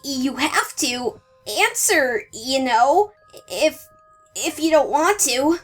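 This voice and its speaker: Timid squeaky young pegasus pony. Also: female voice, big pitch swings, full character voice. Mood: nervous, evasive. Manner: halting, apologetic. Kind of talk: cartoon-style audio drama acting. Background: music.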